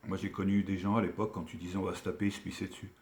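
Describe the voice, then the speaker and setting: A man with a fairly low voice speaks in a casual, colloquial register, recorded in a noticeably echoey room. He is from Algeria and talks like an online commentator giving a frank telling-off, stressing his points emphatically. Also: level tone, very fast, darkish tone. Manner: colloquial street French, emphatic, admonishing. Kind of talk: casual opinion rant to camera.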